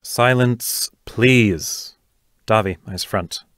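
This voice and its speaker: A man's voice: lowish voice, extremely slow, a bit bright, no room sound, clean studio sound. An actor playing a stern, strained male schoolteacher in an audio play, formal and clipped in his delivery. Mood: strained, exasperated. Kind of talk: audio drama acting.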